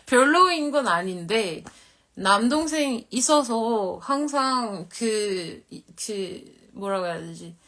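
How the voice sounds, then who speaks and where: A woman's voice: on the high side, a bit bright. A woman in her thirties, from Korea, chatting candidly and a bit ramblingly with viewers on her live stream. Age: 30s. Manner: candid, rambling, self-deprecating. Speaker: model and livestream host. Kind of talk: casual live-stream chat.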